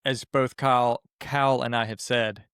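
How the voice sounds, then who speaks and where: A man's voice: mid-range voice, steady tone, measured pace, fairly bright tone, smooth, no echo, studio quality. A man with a Southern US accent co-hosting a casual podcast, easygoing and agreeable. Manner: easygoing, agreeable, frequent yeahs. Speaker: podcast co-host. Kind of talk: casual chat.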